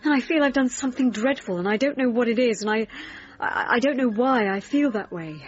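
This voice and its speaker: Actress as a distraught woman, halting and haunted. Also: on the high side, lightly acted. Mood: anguished. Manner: halting, anxious, stammering speech. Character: distraught, guilt-ridden female patient haunted by nightmares. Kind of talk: naturalistic radio drama acting. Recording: dull radio recording with music behind.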